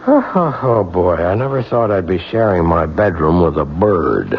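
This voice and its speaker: Agitated husband, grumbling and worried, radio play, hugely varied pitch, loud noise on muffled old recording. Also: male voice, sing-song, moderate pace. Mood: grumbling, irritated. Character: irritable, worried husband, grown man. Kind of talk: 1970s radio drama acting.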